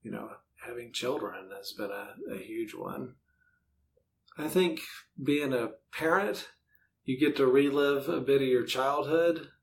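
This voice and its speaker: Man chatting casually, faint rumble behind. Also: a little slow, creaky. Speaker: podcast guest, writer. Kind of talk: casual conversational interview answers. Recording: faint low rumbling background noise.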